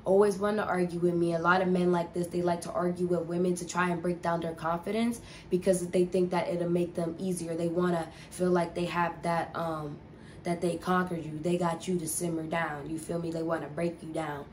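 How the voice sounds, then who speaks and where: Young woman, casual storytime vlog, rambling, profane, low-pitched, monotone. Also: a little fast, slight background noise. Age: young adult. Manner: rambling, profane, lots of "like" fillers. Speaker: vlogger.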